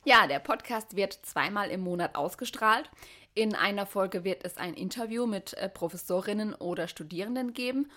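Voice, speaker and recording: Twenties woman co-host, clear scripted read. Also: medium pitch, moderate pace, sharp tone. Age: 20s. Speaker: podcast co-host. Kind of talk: scripted podcast narration.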